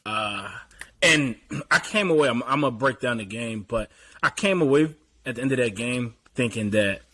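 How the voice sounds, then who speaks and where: Bright-voiced man breaking down a game. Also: medium pitch, expressive, normal speed. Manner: easygoing, analytical, clears throat often. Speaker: podcast host. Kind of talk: casual sports chat.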